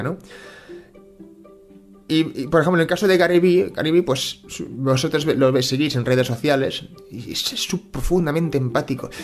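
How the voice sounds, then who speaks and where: A middle-aged Spanish man from Catalonia speaks in a thoughtful, hesitant monologue with many fillers and restarts, as host of his personal finance channel. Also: mid-range voice. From Spain (Catalonia). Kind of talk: reflective casual monologue.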